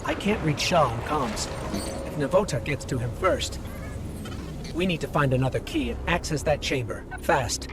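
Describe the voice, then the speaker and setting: Earnest higher-pitched little robot companion. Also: male voice, sing-song, moderate pace, noisy background. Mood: worried. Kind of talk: video game voice acting.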